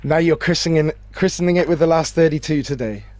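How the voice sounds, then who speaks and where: A man giving expert pool analysis as co-commentator, thoughtful and a bit halting. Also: fairly high pitch, darkish tone. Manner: analytical, hesitant with fillers, laughs easily. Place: remote booth.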